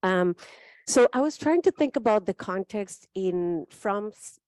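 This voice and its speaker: A woman's voice: mid-range voice, lively intonation, a little slow, a little mellow, no echo. A woman from Chile, speaking as a panelist in a thoughtful, emphatic way and often checking in with "right?". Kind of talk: spoken forum remarks.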